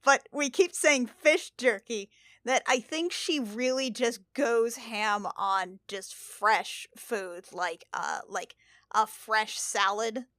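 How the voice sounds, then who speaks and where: A woman with a fairly high, very bright voice in a dry, close recording. She chats casually and emphatically, swearing as she goes. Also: takes it slowly, dry recording. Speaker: tabletop podcast player. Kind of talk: casual chat.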